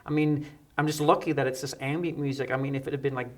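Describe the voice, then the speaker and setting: A man's voice: medium pitch, steady tone, quick pace. A middle-aged man from the United Kingdom telling anecdotes as a guest in a conversational interview. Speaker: interview guest, electronic musician. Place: dark indoor studio set, seated.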